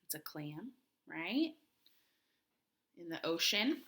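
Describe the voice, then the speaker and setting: A woman's voice: on the low side, big pitch swings, takes it slowly, a bit bright. A woman teacher doing a read-aloud for young children, talking to them directly with questions and prompts. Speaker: primary school teacher.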